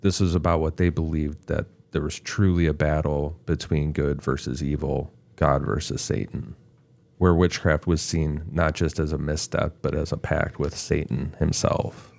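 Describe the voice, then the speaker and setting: A man co-hosting a podcast, reading a prepared history segment in a steady voice. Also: rumbling low, vocal fry. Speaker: podcast co-host, researcher. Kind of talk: scripted narration.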